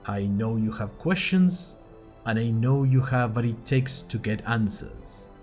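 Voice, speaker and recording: A man's voice: medium pitch, expressive, a little slow, darkish tone, faint music behind. A man playing a grandiose university director, giving a theatrical, solemn welcome speech in an audio drama. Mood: solemn, imposing. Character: grandiose university director addressing new students.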